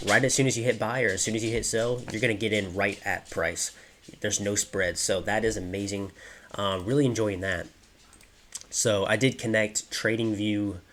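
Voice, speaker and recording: Young male vlogger, nasal and congested, laid-back and filler-heavy, a bit low-pitched. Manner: relaxed, many ums and you-knows. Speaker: trading vlogger. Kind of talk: casual screen-share walkthrough.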